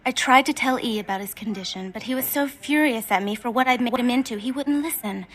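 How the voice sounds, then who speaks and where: An actress playing a distraught, hungry, guilt-ridden young female vampire in a video game, with breathy, halting, anguished delivery. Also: fairly high pitch, fairly bright tone, natural voice. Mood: hurt, anxious.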